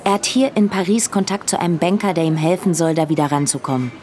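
Actress as lowish-voiced guarded young woman. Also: quick pace, warm tone, lightly acted. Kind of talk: radio drama acting.

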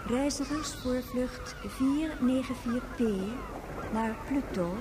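A woman playing a polite, formal spaceport announcer giving public address calls in a science fiction radio drama. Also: high voice. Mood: formal, neutral. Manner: slow, formal, measured announcing. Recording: echoing, noisy public address sound.

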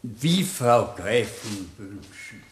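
A man playing a ceremonious, fearful old manservant in a German children's radio play, deferential and gravely superstitious. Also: fairly low pitch, lively intonation, drawn-out words. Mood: dutiful. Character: fearful, stiffly formal old male manservant. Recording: roomy, slightly hissy old radio play.

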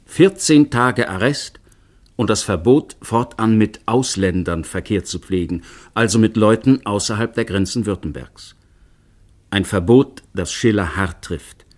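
A man narrating a scripted radio drama in a measured, dramatic storytelling manner. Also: fairly low pitch.

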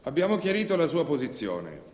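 A man's voice: a little high, wildly swooping pitch, fairly quick, darkish tone. A male actor playing a stern, suspicious police official in a stage drama, curt, bureaucratic and condescending. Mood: stern, impatient. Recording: echoey hall, long reverb.

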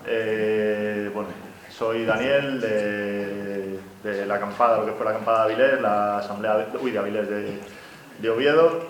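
A man's voice: lowish voice, fairly even intonation, measured pace, a little hiss or hum. A man from Madrid introducing himself on a panel, hesitant and correcting himself as he speaks. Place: cultural centre lecture room. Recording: echoey room with faint ambience.

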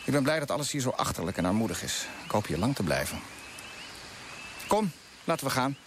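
An actor playing an eager, courteous colonial official in a radio drama, speaking formally and inquisitively. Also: male voice, mid-range voice, very animated, brisk, close to an everyday voice. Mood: eager, probing. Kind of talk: Dutch radio drama acting. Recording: noisy old radio play recording.

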